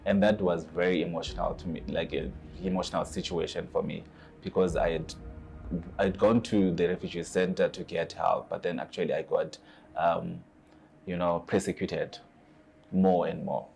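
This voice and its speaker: A man from Zimbabwe, earnestly recounting his own experiences and pausing to search for his words. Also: sits low, steady tone, gravelly, darkish tone, soft background music. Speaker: asylum seeker telling his story. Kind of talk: personal testimony to camera.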